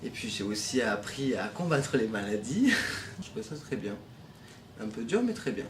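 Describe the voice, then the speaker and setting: A young man recounts his volunteer time in a loose, halting way, restarting his sentences often. The room rings with echo and a faint hum sits under his voice. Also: mid-range voice, sing-song. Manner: hesitant, many restarts and fillers. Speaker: former volunteer. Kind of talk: casual testimonial.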